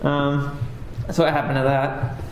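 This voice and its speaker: A man with a fairly high voice talks casually from a conference stage, rambling and self-deprecating with many fillers. The sound is roomy and echoey. A faint hum of ambience sits behind him. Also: level tone, a little slow. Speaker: game developer giving a talk.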